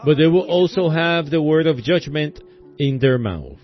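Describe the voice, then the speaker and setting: A male preacher delivering a sermon in a hoarse voice, speaking in deliberate, halting phrases with many pauses. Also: fairly high pitch, expressive, muffled recording, soft background music.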